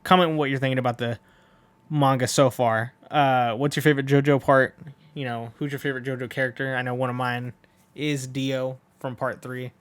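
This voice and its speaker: A man's voice: medium pitch, little pitch movement, normal speed, smooth, no room sound. A man hosting a read-along, chatting casually and enthusiastically to viewers in a rambling, informal way. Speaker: video host and read-along performer.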